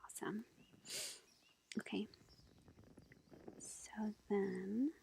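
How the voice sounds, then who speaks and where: A woman speaks softly in an intimate ASMR roleplay, often slipping into a breathy whisper. Her voice crackles with heavy fry, and she takes her time, hesitating gently and casually between phrases. The lo-fi recording carries faint background noise. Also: medium pitch, steady tone, very slow. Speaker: ASMR roleplay performer as a tarot-reading witch girlfriend. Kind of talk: soft-spoken, half-whispered ASMR roleplay. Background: faint forest ambience with bird song.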